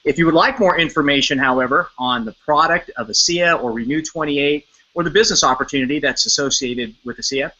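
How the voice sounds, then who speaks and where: A man's voice: fairly high pitch, brisk, pushed and bright. A man hosting an online hangout on a video call, upbeat but often hesitating with fillers. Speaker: host. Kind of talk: webcast hosting. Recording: muffled lo-fi video call audio.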